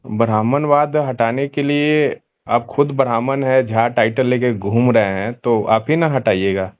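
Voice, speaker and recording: A man's voice: medium pitch, measured pace, no room sound. A man debating heatedly as host on a phone call, insistently piling up rhetorical questions. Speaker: host taking phone calls.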